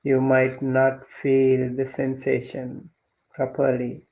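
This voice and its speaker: A man leading a guided meditation as a teacher, speaking gently and deliberately with long pauses between instructions. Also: mid-range voice, monotone, poor audio.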